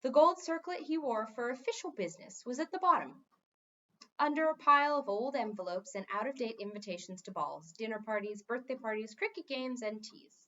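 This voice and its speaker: A woman narrates a storybook in an expressive read-aloud style. Her voice is on the high side and moves freely in pitch. The recording sounds a little dull. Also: a little fast.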